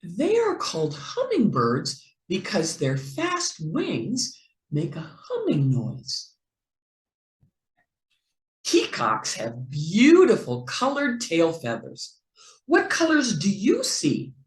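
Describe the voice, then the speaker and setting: A woman reading a picture book aloud to young children in an expressive, deliberate, playful way, as a teacher would. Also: on the low side, reverberant. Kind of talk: slow animated read-aloud for young children.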